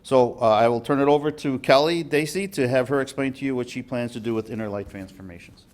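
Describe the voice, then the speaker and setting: A man's voice: mid-range voice, fast talker. A man serving as deputy liquor commissioner, giving a businesslike report to a village board, with fillers and restarts. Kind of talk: formal report at a public meeting. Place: village board meeting room.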